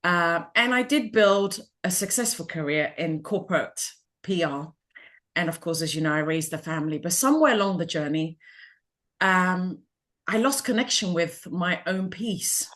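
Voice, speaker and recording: Calm, low-voiced middle-aged woman. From Ghana. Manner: calm, measured, thoughtful. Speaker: interviewee, life coach. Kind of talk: reflective personal interview.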